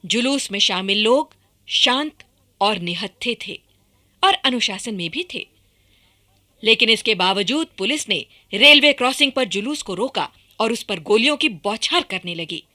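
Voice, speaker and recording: A woman's voice: a little high, lively intonation, measured pace, sharp tone. A woman narrating a scripted historical radio drama in a formal, emphatic way.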